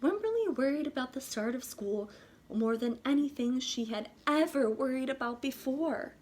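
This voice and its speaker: A woman's voice: sits high, expressive, darkish tone, slight background noise. A woman teacher reading a children's storybook aloud expressively, in short phrases for young listeners. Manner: pauses to ask listeners questions. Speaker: kindergarten teacher.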